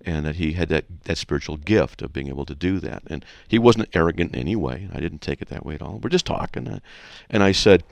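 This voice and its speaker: Deep gravelly man hosting a radio show. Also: expressive, quick pace, few pauses, no echo. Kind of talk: casual conversational teaching and anecdotes.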